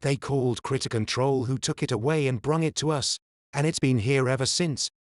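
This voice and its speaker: A man's voice: medium pitch, brisk, dry recording, studio quality, close to an everyday voice. A man playing a folksy English zookeeper who tells things in a colloquial, matter-of-fact way in an audio drama. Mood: folksy, bemused. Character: plain-spoken, folksy English male zookeeper. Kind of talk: fan audio drama acting.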